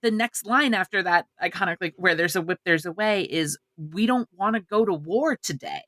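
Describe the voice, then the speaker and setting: A woman's voice: on the low side, moderate pace, a bit bright, dry recording, studio quality. A woman co-hosting a podcast in casual panel chat, opinionated and emphatic in how she talks. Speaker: podcast co-host. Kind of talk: casual panel chat about film adaptations.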